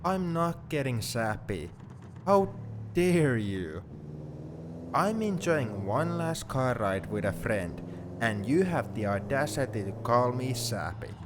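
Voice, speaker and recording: A man's voice: mid-range voice, slow. A man playing a sentimental, teasing young friend heading to university, in an intimate one-sided ASMR roleplay. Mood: mock offended, teasing. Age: young adult. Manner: reflective, playfully defensive. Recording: steady car noise behind the voice.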